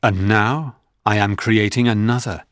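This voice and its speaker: Man doing a guilt-ridden storybook scientist, anxious, slow, dry close recording. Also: on the low side, steady tone, no room sound, lightly acted. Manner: anxious, crying out. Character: anguished young male scientist, guilt-ridden.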